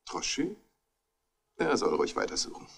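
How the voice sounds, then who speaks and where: A glib male debtor in a 1960s German radio mystery. His lowish voice is animated and bright-edged. He sounds slippery and breezily sure he can stall anyone. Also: normal speed, vocal fry, husky, exaggerated voice. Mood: cajoling. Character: evasive, smooth-talking male debtor. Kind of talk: 1960s German radio crime drama acting.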